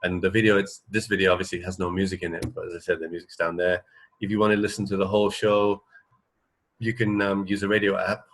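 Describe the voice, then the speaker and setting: A man's voice: sits low, little pitch movement, quick pace. A man co-hosting a radio show, chatting casually in a hesitant, rambling way. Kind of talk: casual chat.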